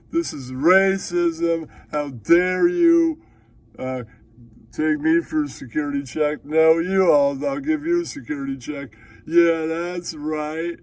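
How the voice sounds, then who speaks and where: A man's voice: a little high, no echo. A man playing a foul-mouthed, aggrieved puppet character who blusters indignantly in an improvised comic monologue. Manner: blustering, swearing, drawn-out indignation.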